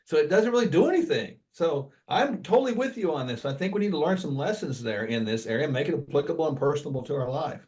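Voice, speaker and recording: A man's voice: fairly high pitch, expressive, quick pace, hardly any pauses, darkish tone. Earnest male podcast co-host. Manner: earnest, aphoristic, agreeable. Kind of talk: conversational business talk.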